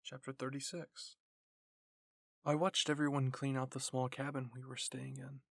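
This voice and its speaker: A man reading a scripted first-person story in ASMR style, softly and in measured phrases. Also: medium pitch, flat delivery, gravelly, dry recording, clean studio sound. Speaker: narrator and reader.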